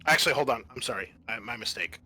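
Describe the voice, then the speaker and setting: Bright-voiced man running a tabletop game. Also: medium pitch, animated, fast talker, slightly rough. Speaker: game master. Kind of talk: narrating a tabletop roleplaying game.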